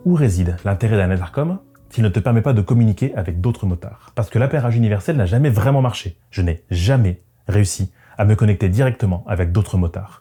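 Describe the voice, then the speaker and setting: Fast-talking man with a dark, mellow voice. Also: low voice, slightly rough. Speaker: motorcycle vlogger and narrator. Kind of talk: scripted first-person narration. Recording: music behind the voice. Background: background music.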